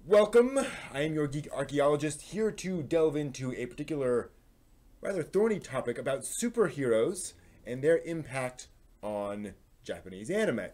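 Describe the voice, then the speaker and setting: A man's voice: on the high side, expressive, normal speed, darkish tone. A man hosting a live stream, giving opinionated commentary on anime in a rambling, thinking-aloud way.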